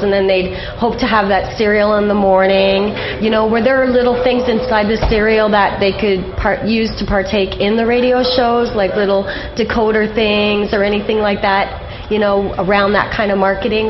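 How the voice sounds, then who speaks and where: A woman's voice: mid-range voice, level tone, moderate pace, background noise. A woman hosting a radio interview, asking questions in an informal, conversational, inquisitive way. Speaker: radio show host. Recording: slightly dull radio recording.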